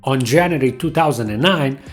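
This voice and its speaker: A man's voice: mid-range voice, a little fast, soft background music. A man hosting a tech channel, narrating a scripted history of laptops in English with a non-native accent.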